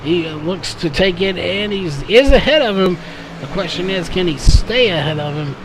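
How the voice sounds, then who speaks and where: A man's voice: sits high, lively intonation, normal speed, background noise. A man doing live play-by-play race commentary, full of fillers and self-corrections, his voice a little worn. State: slightly losing his voice.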